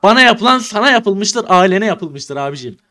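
Man, slightly high pitch, bright tense tone, brash, slangy, provocative, game role-play banter. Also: expressive, normal speed. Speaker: gaming video creator playing a troll character.

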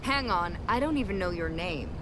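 A woman's voice: on the low side, lively intonation, moderate pace, natural voice. A woman playing a fearless young getaway driver in a video game, dry, cocky and sarcastic, in a naturalistic way. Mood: wary, wry. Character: Jess Miller, wry fearless young female getaway driver. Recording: loud car engine and road noise.

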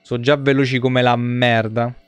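Grumbling man streamer with an even pitch. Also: normal speed. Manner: grumbling, foul-mouthed. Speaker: video game streamer. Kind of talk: live gameplay commentary with casual chat. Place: indoor room at a streaming desk.

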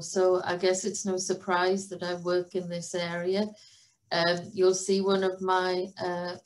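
Welsh lady professor on a video call, pretty monotone, chatty. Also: fairly low pitch, poor audio. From Wales. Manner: chatty, even-toned, with fillers. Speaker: professor giving a talk. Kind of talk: presentation over video call.

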